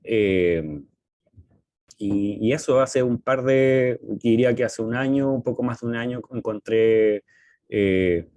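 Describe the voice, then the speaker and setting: A man with a Chilean accent talking casually as a podcast co-host, in a halting, hesitant way with frequent pauses. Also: medium pitch, a little mellow, dry recording. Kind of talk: casual conversational podcast talk.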